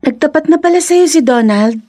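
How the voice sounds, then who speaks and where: Teasing high-voiced young friend. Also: female voice, lively intonation, a little fast, dark tone, soft background music. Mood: encouraging. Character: supportive, teasing young female best friend. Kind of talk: Filipino radio drama acting.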